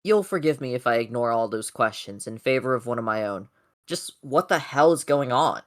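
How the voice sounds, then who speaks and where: A performer voicing a weary, dignified robot leader in a fan comic dub, speaking in a solemn, measured and formal way. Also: medium pitch, lively intonation, lightly acted. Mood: calm, firm. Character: weary, dignified heroic robot leader narrating his return. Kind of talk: comic dub, first-person dramatic narration.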